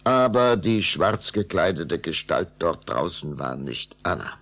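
A man narrating a children's radio play as a measured, dramatic storyteller. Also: deep voice, very animated, a bit bright, exaggerated voice.

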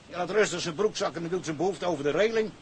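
An actor playing a plain-spoken, critical friend of a sailor in a radio drama, talking colloquially. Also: male voice, sits high, very fast, a little hiss or hum, close to an everyday voice. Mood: disgusted.

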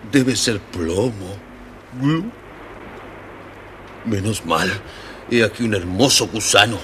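An actor voicing a big, dim-witted fish who complains and gulps with hiccups in a children's audio storybook. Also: male voice, lowish voice, animated, slow pace, fairly bright tone, a little hiss or hum. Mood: queasy, grumbling. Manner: complaining, gulping hiccups between phrases.

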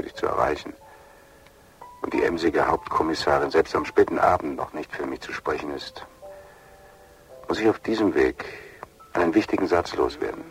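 A very deep, gravelly male voice plays a suave, sentimental lover in a radio drama. His phrasing is florid and measured, with lively swings in pitch, heard as a recorded phone message. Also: heavily acted, low-quality recording.

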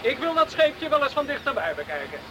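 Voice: high-pitched, sing-song, a little fast, noisy background. An actor playing a curious, down-to-earth teenage boy in a Dutch radio play, sceptical and bantering. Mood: sceptical, intrigued. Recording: radio play with loud outdoor ambience.

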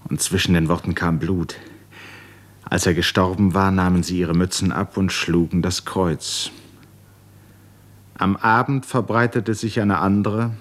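A man narrating a literary radio drama in a grave, measured manner, with short, deliberate phrases. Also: low voice.

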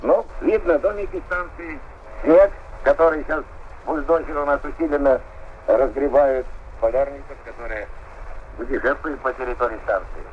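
A man's voice: mid-range voice, moderate pace, phone-quality sound, slight background noise. A man at a polar station answering interview questions over a long-distance radio link.

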